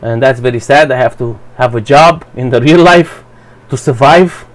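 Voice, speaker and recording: A man whose first language is Romanian, giving a blunt, profane, halting commentary for his channel. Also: mid-range voice. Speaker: vlogger. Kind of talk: rambling personal commentary.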